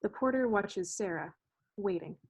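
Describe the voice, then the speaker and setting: A woman's voice: medium pitch, lively intonation, a little slow. Woman announcing scenes over noisy audio. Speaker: reader of scene headings and stage directions. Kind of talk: scripted narration. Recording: clearly noisy video-call audio, clicks.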